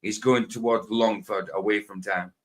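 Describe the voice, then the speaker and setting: A man's voice: on the low side, steady tone, normal speed, a bit bright. A middle-aged Scottish man chatting on a live stream, repeating himself and drifting into tangents as he talks over a video. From Scotland.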